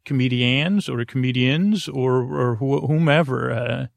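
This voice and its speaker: A man hosting a bedtime podcast, talking in a meandering, halting, repetitive way that trails off into tangents, with a creaky voice. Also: medium pitch, no room sound. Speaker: podcast host. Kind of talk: rambling bedtime podcast monologue.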